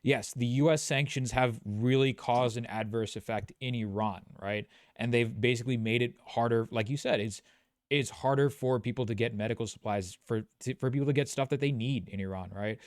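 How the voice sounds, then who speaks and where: A man hosting a political stream, asking questions in a measured, hedging way, with many restarts. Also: medium pitch, clear, resonant tone, no echo, studio quality. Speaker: streamer host. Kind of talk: casual political discussion.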